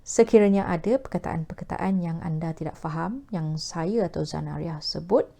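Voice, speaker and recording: A woman with a Malaysian accent hosting a talk video, speaking formally with measured, newsreader-like diction. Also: fairly low pitch, gravelly, dark tone. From Perak, Malaysia. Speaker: host of a talk video series. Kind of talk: formal scripted presentation to camera.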